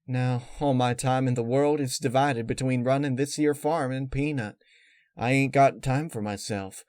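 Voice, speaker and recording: A man's voice: medium pitch, a little mellow, no echo, close to an everyday voice. Guy doing a folksy worried farm pony lady in a story, homespun talk, close dry sound. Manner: folksy, homespun country phrasing. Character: anxious pregnant farm mare with folksy country talk.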